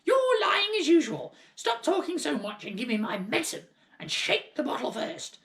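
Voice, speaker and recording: A man's voice: very high voice, very animated, moderate pace, vocal fry, fairly bright tone, pitched above the performer's own voice, exaggerated voice. A man voicing a bossy, crabby old grandmother in a storybook reading, screeching and scolding. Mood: cross. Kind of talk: storybook read-aloud character voice.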